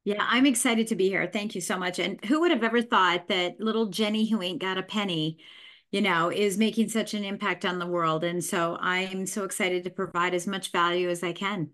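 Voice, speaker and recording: A middle-aged woman, a podcast guest, telling her life story in a candid, folksy, animated way. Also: medium pitch, normal speed. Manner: animated, folksy, mimics voices in her stories.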